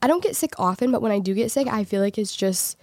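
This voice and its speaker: A woman's voice: medium pitch, expressive, quick pace, darkish tone, no room sound. A woman co-hosting a podcast, chatting casually and telling a story, sounding nasal from a cold. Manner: chatty storyteller, laughs often, teasing. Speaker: podcast co-host. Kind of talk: casual chat.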